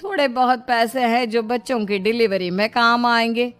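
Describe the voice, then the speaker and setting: A woman voicing a middle-aged mother-in-law in a moral story cartoon, deliberate and admonishing, caring but scheming. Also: on the high side, fairly even intonation, fairly bright tone, clean tone, soft background music, close to an everyday voice. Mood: worried, lamenting.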